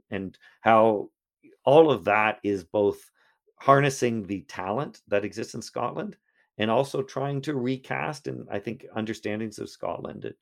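A man's voice: on the low side, gravelly. A man, a history professor, answering interview questions in a thoughtful way with frequent restarts.

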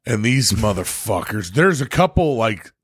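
Animated, laughing man chatting casually. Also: on the low side, normal speed, slightly rough, dry recording, studio quality. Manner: animated, profane, laughs often. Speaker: podcast co-host. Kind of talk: casual chat.

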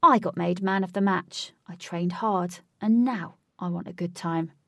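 A woman plays a cocky, muscular young man in a storybook, used to getting his way. His delivery is low and insistent, snapping with entitlement, with a creaky edge in a dry, close sound. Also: unhurried, sharp tone, no room sound, far from the mic, full character voice. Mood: angry, boastful. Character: arrogant muscular young male athlete, angry, entitled, pushy.

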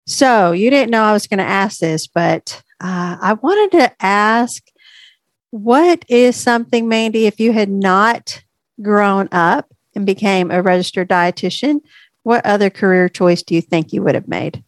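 Casual woman co-host, slightly stuffy, mid-pitched, dry close mic. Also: no room sound. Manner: relaxed, chatty, drawn-out fillers. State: a little stuffy. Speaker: podcast co-host, registered dietitian. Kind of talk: casual chat.

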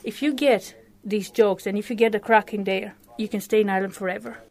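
A woman from Romania with a Romanian accent, a podcast co-host telling a story in casual chat, animated and laughing easily, swearing casually. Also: mid-range voice, brisk.

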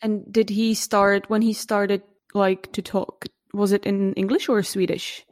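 A woman's voice: medium pitch, flat delivery, unhurried, a little mellow, no room sound, studio quality. A Czech woman in her thirties hosting an interview, curious and friendly, with many fillers. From Czech Republic. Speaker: podcast host. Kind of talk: casual interview chat.

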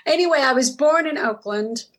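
A woman's voice: high-pitched, fairly quick, a bit bright. A middle-aged woman from Southern California, a guest telling her family story in a chatty interview, laughing easily and restarting her sentences.

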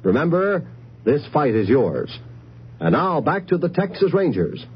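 A man's voice: on the high side, sing-song, unhurried, a little hiss or hum. A man working as a radio announcer, reading a scripted appeal in an earnest, solemn, emphatic 1950s style. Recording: dull 1950s radio broadcast.

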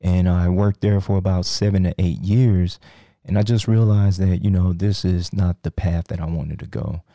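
A man in a podcast studio interview who talks haltingly, with many ums and restarts. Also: low voice, fairly even intonation, dark tone, dry recording. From Nigeria, raised in Texas. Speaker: guest, crypto trader.

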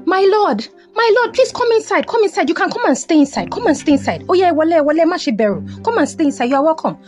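A deferential, hospitable tent woman with a Nigerian accent , acted by a woman. Her voice is high and lively as she coaxes politely, repeating her phrases in an audio Bible play. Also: fast talker, faint music behind. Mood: coaxing, welcoming. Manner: polite, repetitive coaxing.

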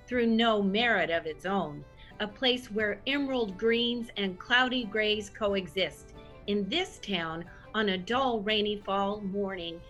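A woman playing a composed, wry storyteller, giving measured scripted narration for an audio comedy. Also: mid-range voice, a bit bright, lightly acted. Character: composed storyteller setting a wry, old-time radio scene. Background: faint music.

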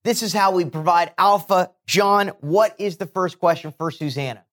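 A man's voice: high-pitched, moderate pace, a bit bright. A man co-hosting a podcast, hyped up and heaping on repetitive praise. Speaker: podcast co-host. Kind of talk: enthusiastic show hosting.

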